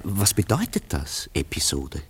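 Deep, slow, animated male confidant. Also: slightly rough, heavily acted. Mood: probing. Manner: theatrical, prompting, gently ironic. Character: curious, dryly teasing male confidant probing a friend. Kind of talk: German radio play acting.

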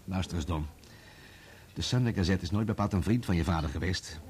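A man plays a self-excusing journalist in a radio drama. His voice is fairly low, and he reasons in a placating, evasive way. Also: fairly quick, darkish tone, slight background noise, lightly acted. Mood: defensive, apologetic. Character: evasive, self-excusing male journalist, caught betraying friends. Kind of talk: Dutch radio play acting.

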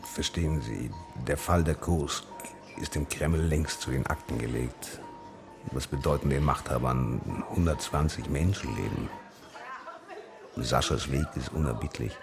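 Radio drama supporting role: stern, world-weary Russian, rock-bottom pitch, heavy creak, deliberate formal warnings. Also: male voice, full character voice. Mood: grim warning. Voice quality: gravelly. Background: music.

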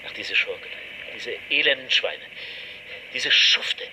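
An actor playing an irate, blustering office chief in a radio drama, ranting emphatically and imperiously. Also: male voice, medium pitch, takes it slowly, lightly acted. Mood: outraged. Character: irate, blustering male head of a compensation office. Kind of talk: German radio drama acting. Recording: long hall reverb, clear background noise.

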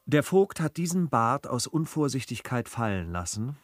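An actor playing a scrupulous, formally spoken gentleman traveller in a classical German comedy for radio, hesitant and deliberating. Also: mid-range voice, big pitch swings, normal speed, natural voice. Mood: hesitant, guarded. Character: cautious, scrupulous gentleman traveller of noble manners.

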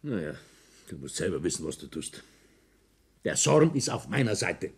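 Rival businessman, very raspy voice, animated intonation, vengeful, menacing, radio play. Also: low-pitched, moderate pace, heavily acted. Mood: cold, warning. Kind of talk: German radio play acting.

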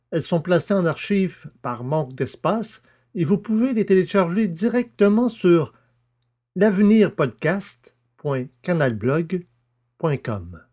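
A man hosting a podcast and reading a novel aloud in a measured, deliberate way. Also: fairly high pitch, a little mellow. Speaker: podcast host and narrator. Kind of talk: scripted audiobook narration.

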